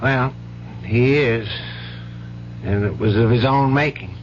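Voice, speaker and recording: An actor playing a steady, plain-spoken man in a 1970s radio drama, measured and matter-of-fact as he consoles a widow. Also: mid-range voice, lightly acted. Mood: calm, frank. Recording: dull vintage radio recording, faint hum.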